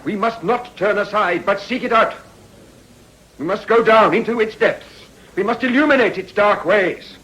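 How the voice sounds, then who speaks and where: A man's voice: high voice, moderate pace, bright, forceful tone, poor audio. A man playing a fervent moral crusader orating in Parliament in a radio drama, emphatic and rhetorical, with an English accent. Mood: fervent, righteous.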